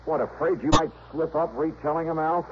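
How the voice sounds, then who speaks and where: An actor playing a probing insurance investigator who narrates and questions in a radio drama, direct and conversational. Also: male voice, a little high, fairly even intonation, a little fast, close to an everyday voice. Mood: pressing. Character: brisk, probing insurance investigator, wry and patient. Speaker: detective and narrator. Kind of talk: 1960s radio detective drama acting. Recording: muffled, noisy old radio recording.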